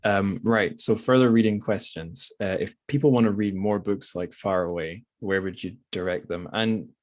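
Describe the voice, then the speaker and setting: A man with a low voice and a dry, close sound hosts a podcast interview. He talks informally and loosely, working out his thoughts as he goes. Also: normal speed, no room sound. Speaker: podcast host. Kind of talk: casual literary interview.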